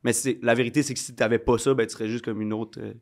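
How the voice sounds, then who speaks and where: A man's voice: mid-range voice, level tone, fast talker. A man in his twenties with a Québécois accent, chatting casually as a podcast guest, rambling and slangy with lots of fillers. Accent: Québécois French. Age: 20s. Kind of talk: casual chat.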